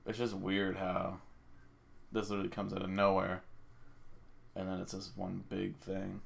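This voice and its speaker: A man's voice: sits low, monotone, moderate pace, vocal fry. A man chatting casually as a podcast co-host, rambling and swearing freely.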